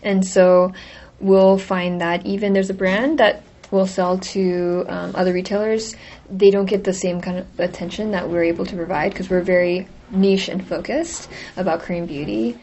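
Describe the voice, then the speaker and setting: A woman's voice: lowish voice, fairly even intonation, normal speed, highs cut off, a little hiss or hum. A woman who runs a beauty company, speaking thoughtfully in an interview with some fillers. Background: soft background music.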